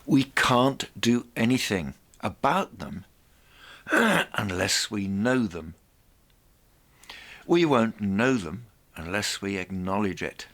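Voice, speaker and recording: Slow, dramatic man presenting a monologue. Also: fairly low pitch, lively intonation, fairly bright tone. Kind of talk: reflective spoken monologue.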